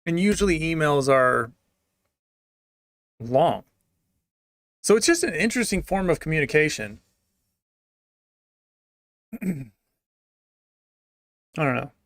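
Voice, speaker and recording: A man livestreaming, reading out his chat and answering it in a joking, deadpan way. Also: on the high side, slow pace, long pauses, no room sound, studio quality.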